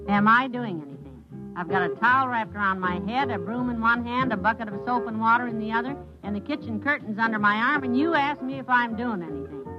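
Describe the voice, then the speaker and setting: Actress as sarcastic American housewife, highish voice. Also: female voice, fairly quick, lightly acted. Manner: dry, sarcastic. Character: sarcastic, busy housewife, fond but exasperated wife. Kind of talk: old-time radio comedy acting. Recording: dull old radio recording with music.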